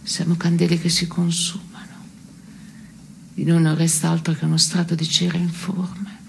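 An actress playing a bitter, irritable woman in an absurdist radio theatre dialogue, speaking in a terse, deadpan way. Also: lowish voice, moderate pace, gravelly, a little hiss or hum. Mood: grave.

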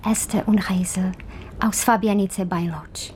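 A woman's voice: mid-range voice, fairly even intonation, a little slow, darkish tone, slight background noise, natural voice. An actress in a radio drama playing an anxious Holocaust survivor searching for her lost sisters, hesitant and insistent.

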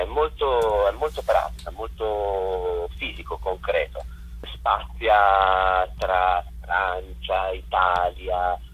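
A man with a very deep, husky voice talks in an interview as a guest director. He is reflective and conversational, pausing to search for his phrasing. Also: fairly bright tone, rough recording. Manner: hesitant, reflective, searching for words.

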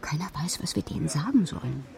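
A woman playing a guarded, loyal hospital secretary in a radio drama, clipped and discreet, with a low, creaky voice. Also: big pitch swings, fast, a little mellow, full character voice. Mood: hushed, worried.

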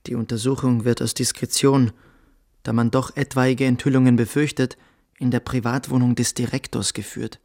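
An actor in a radio play reading out statements in a formal, official manner. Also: male voice, mid-range voice, flat delivery, normal speed, gravelly, darkish tone, lightly acted. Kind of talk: scripted radio play acting.

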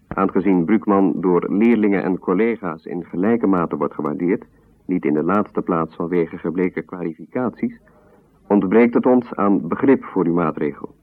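Actor as a low-voiced formal letter reader. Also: male voice, close to an everyday voice. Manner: formal, measured. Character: formal parent reading out a protest letter. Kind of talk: read-out formal letter in radio drama. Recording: narrow, phone-like old radio recording.

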